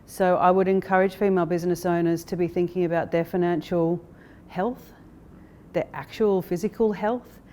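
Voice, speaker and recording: A woman speaking as a company director in a corporate interview, explaining things in a measured way. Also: on the low side, steady tone, darkish tone, slight background noise. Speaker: interviewee, managing director of an investment firm.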